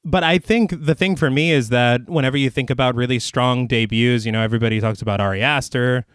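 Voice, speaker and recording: A man co-hosting a horror podcast in casual film talk, getting over the flu, relaxed and often swearing and laughing. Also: mid-range voice, quick pace, clear, resonant tone, dry recording, studio quality. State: recovering from flu. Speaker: podcast co-host.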